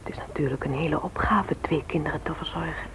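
A woman's voice: low-pitched, fast, fairly bright tone, whispered, poor audio. An actress playing a kindly, sympathetic woman who dotes on children in a radio play. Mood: hushed sympathy. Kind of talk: Dutch radio play acting. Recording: hissy old radio recording.

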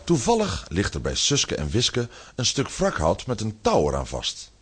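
A man's voice: lowish voice, huge pitch swings, normal speed, breathy edge, heavily acted. A man narrating a scripted children's audio drama as the storyteller.

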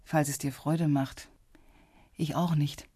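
An actress playing a cool, businesslike police intelligence officer in a radio drama, composed and teasing, with a husky voice. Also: female voice, low voice, fairly even intonation, slow pace, warm tone, dry recording, clean studio sound, exaggerated voice. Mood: cool, probing. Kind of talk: naturalistic radio drama dialogue.

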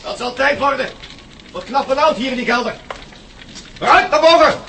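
An actor playing a corrupt village sheriff in a radio drama, mocking, pompous and threatening. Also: male voice, very high voice, lively intonation, normal speed, bright, forceful tone, slight background noise, full character voice. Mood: impatient command.

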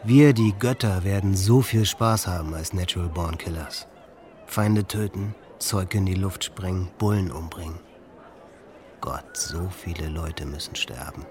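A man's voice: sits low, measured pace, noisy background. An actor playing an angry, manipulative teenage boy in an intense, confiding radio drama monologue in German. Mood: hateful, gleeful. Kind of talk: German radio docudrama acting, diary monologue.

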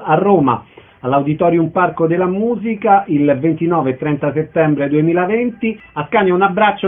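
A man hosting a radio interview, putting questions and reading out event details. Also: fairly high pitch. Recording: narrow band-limited radio sound.

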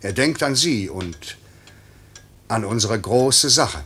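An actor playing a stern, dutiful night airmail chief, formal and measured, in 1950s German radio drama style. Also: male voice, fairly low pitch, animated, a bit bright, slight background noise. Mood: insistent, reassuring. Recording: 1950s radio play recording.

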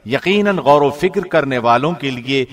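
A man, a professional radio host, giving a calm, formal studio reading of a scripture translation. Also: medium pitch. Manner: calm, even, formal delivery.

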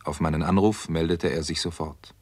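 A hard-boiled doctor investigating a case in a vintage radio thriller. His voice is deep, and he speaks in clipped, matter-of-fact sentences. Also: steady tone, normal speed, darkish tone. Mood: grave, matter-of-fact. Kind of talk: 1960s German radio crime drama narration and dialogue.

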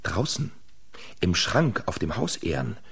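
An actor playing a sly, unhurried peasant trickster in a fairy-tale radio drama, with a gravelly, husky voice. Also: male voice, low-pitched, very animated, a bit bright, exaggerated voice. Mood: sly, secretive.

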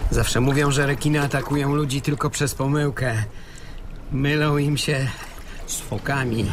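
A man's voice: medium pitch, fairly even intonation, unhurried, noisy background, close to an everyday voice. A man playing a pedantic, touchy plane-crash survivor bickering while adrift at sea in a radio play. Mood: wry. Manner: bickering, exclamatory, correcting. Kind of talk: Polish radio play dialogue. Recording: loud splashing water sound effects behind. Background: sea water sounds.

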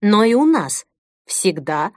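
A woman narrating an audiobook in a measured, deliberate, unhurried manner. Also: mid-range voice, big pitch swings, darkish tone, no echo, studio quality. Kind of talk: audiobook reading.

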